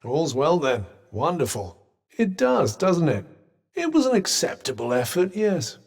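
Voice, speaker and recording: A haughty, sardonic magical companion from a video game, speaking in posh British tones. The voice sits a little high and swings expressively from line to line. It is droll, understated and faintly condescending, and rings with a long reverb. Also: male voice, wildly swooping pitch, a little slow, a little mellow, heavily acted. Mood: dry, condescending.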